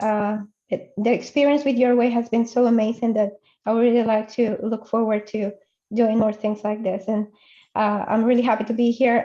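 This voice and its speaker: A woman from Peru, an entrepreneurship facilitator introducing herself encouragingly on a video call. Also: a little high. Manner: warm and encouraging, with slight grammar slips. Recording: dull lo-fi video call audio.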